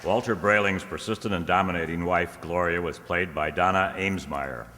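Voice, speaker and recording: Casting: low male announcer, deliberate stagey script reading, heavy noise in an echoey hall. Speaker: announcer reading cast credits. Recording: noisy echoey hall, loud crowd behind.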